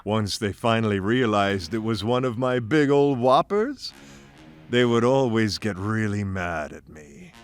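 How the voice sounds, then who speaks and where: A man's voice: on the low side, big pitch swings, a little slow. A man narrating a scripted first-person story in a theatrical, folksy, playful manner. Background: music bed.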